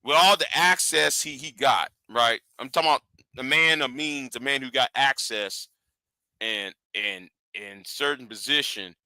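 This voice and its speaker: A man's voice: medium pitch, measured pace, sharp tone, no echo, low-quality recording. A man in his forties, a live stream host, rambling in casual commentary with lots of stammered repeats. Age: 40s.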